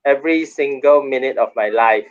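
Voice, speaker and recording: A man's voice: mid-range voice, normal speed. A man introducing himself casually as a guest on an online panel, over a muffled video call. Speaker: panel guest living in Thailand.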